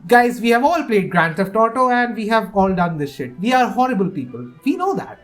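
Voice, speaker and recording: A woman from India, hosting a casual, excitable and opinionated game preview and often restarting her sentences. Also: mid-range voice, a little fast, soft background music. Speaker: gaming video host.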